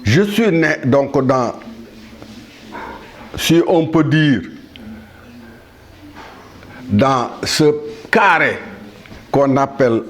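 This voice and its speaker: A man in his seventies from Senegal, delivering a solemn monologue to the world in a measured, halting way with pauses and restarts. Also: medium pitch, animated, a bit bright, slight background noise. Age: 70s. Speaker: speaker addressing the world.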